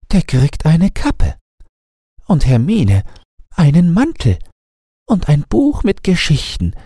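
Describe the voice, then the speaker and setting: A man voicing excited, gossipy little sparrows chattering in a bedtime fairy tale. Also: mid-range voice, husky, no echo, clean studio sound, pitched above the performer's own voice, full character voice. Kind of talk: bedtime storybook character voice.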